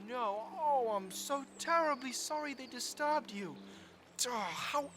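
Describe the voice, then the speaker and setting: A man's voice: very high-pitched, expressive, measured pace, background noise. Man playing an earnest, kind-hearted young marten. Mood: troubled. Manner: earnest, polite, apologetic. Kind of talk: audio drama acting for an animal story.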